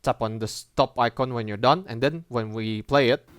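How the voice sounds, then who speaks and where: A man presenting a casual app tutorial as a voice-over, with halting, informal and hesitant phrasing. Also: mid-range voice, fairly bright tone, no room sound.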